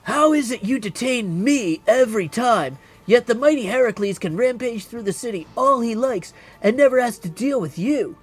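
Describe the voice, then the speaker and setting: Man acting a young game heroine, high voice, swooping intonation, plucky, indignant, theatrical. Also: expressive, moderate pace, few pauses, breathy edge, soft background music, heavily acted. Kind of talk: video game character dialogue.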